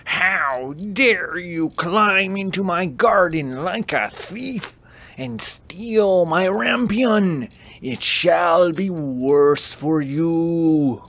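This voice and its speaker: A man's voice: high-pitched, very slow, fairly bright tone, pitched above the performer's own voice, exaggerated voice. A man voicing a stern, imperious witch from a bedtime fairy tale, with dramatic, commanding delivery. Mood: indignant, threatening. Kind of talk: bedtime storybook character voice.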